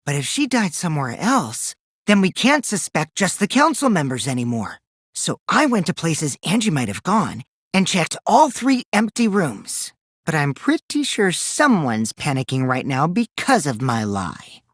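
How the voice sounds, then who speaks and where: A man's voice: on the high side, wildly swooping pitch, unhurried, a bit bright, no room sound, studio quality, full character voice. An actor playing a mischievous, taunting teenage boy in a video game, theatrical and teasing, with a youthful boyish voice that can turn from cutesy to cold. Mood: playful, calculating. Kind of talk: animated game dialogue in a murder-mystery trial.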